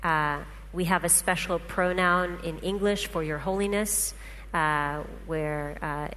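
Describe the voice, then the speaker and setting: A woman's voice: on the low side, steady tone, takes it slowly, fairly bright tone. A woman, a native Russian speaker, explaining patiently with examples in a talk to a panel. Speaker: speaker presenting research. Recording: hall reverb, faint hum.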